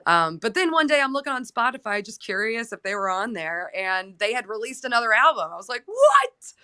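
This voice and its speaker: A woman's voice: fairly high pitch, very animated, fairly quick, sharp tone, clean tone. A young woman, a musician being interviewed, chatting casually and with enthusiasm. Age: young adult. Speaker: guest musician, singer and guitarist.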